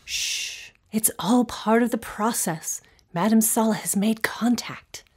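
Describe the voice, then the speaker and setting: A woman playing a nervous young woman at a seance, hushed and earnest, in old-time radio drama style. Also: on the high side, slow pace, husky, in a whisper. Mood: tense.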